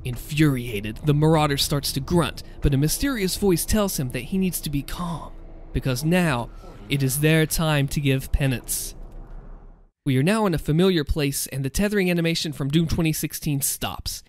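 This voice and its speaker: A man hosting a gaming video, reading and commenting in a deliberate, theatrical narrator style. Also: fairly high pitch, slight background noise.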